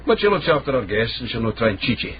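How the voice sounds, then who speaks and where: A man's voice: medium pitch, big pitch swings, fast, close to an everyday voice. A man acting a canny Scottish street guide in a radio drama, dry and knowing. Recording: dull, noisy radio drama recording.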